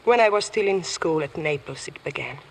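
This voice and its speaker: Old radio drama, slightly noisy, a guarded proud widow with a past, measured and formal. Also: female voice, sits low, lively intonation, gravelly. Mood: weary, defiant. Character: worldly, weary foreign widow accused of murder, proud. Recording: noisy vintage radio broadcast.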